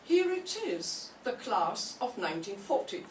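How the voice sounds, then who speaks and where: An older woman voicing a kindly, patient old nun in an amateur 1940s-style radio play, speaking gently and soothingly. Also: mid-range voice, big pitch swings, unhurried. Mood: gentle. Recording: echoey room from far off, faint hiss.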